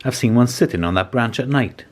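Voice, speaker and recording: A man voicing a kindly, patient old grandpa in a storybook, knowing and gently teasing. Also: medium pitch, expressive, fairly quick, voice pushed up, lightly acted. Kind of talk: storybook character voice.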